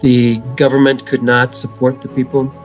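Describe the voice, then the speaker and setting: A middle-aged man, an environmental educator, giving measured, earnest answers in a video call interview. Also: medium pitch, monotone, muffled recording, soft background music. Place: home room.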